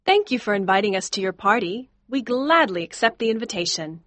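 A lively young woman with a high, singing voice that leaps around, bright and forward in her teasing, as a male reader puts her on. Each line lilts up and down, and the dry recording keeps her close to the ear. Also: a little fast, no room sound.

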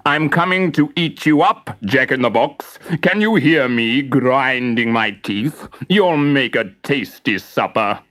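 A fierce male toy lion in a storybook, as a storyteller puts him on, growling his threats slowly and with menace. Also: medium pitch, expressive, fairly bright tone. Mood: menacing.

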